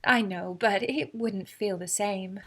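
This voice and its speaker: A woman's voice: mid-range voice, takes it slowly, bright, forceful tone, full character voice. A woman playing an anxious, affectionate young wife with a genteel, emotive manner in a period audio drama. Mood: subdued.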